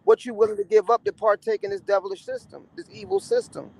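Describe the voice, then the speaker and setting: A man's voice: sits high, wildly swooping pitch, fast, gravelly, a little mellow, no room sound, low-quality recording. A man chatting casually in an earnest tone, often stumbling and repeating words as he talks about spirit and soul. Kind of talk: casual chat.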